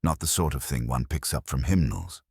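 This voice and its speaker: A practical, deferential police assistant played by a male narrator in a mystery audiobook. He sounds very low and a little raspy, offering thoughts in clipped, tentative phrases. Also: lively intonation, moderate pace, darkish tone, no room sound, clean studio sound, full character voice.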